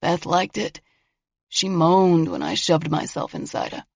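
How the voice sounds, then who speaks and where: An unhinged male killer taunts through a locked door, put on by a woman reading a horror story. He is mocking and sing-song at first, then drops to hushed threats. The recording is dull and lo-fi, with no room sound. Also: fairly low pitch, expressive, a little slow, creaky, no echo, heavily acted. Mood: menacing.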